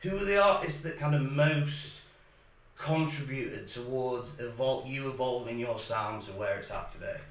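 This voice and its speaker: A man's voice: mid-range voice, moderate pace, distant mic. A man hosting a podcast, asking questions in a chatty, encouraging way full of "like". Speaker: podcast host. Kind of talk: casual interview chat. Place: recording studio. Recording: echoey room, set back from mic, faint noise.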